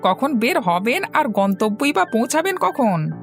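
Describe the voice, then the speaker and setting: A woman's voice: fairly high pitch, very animated, measured pace, soft background music. A woman voicing a cold-hearted palace attendant in a fairy-tale cartoon, mocking yet formal. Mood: taunting.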